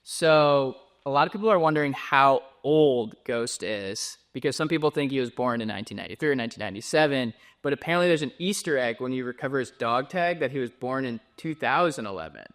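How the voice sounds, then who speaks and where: A man interviewing a guest in a relaxed, casual chat, asking follow-up questions. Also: medium pitch, fast, hardly any pauses. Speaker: podcast interviewer.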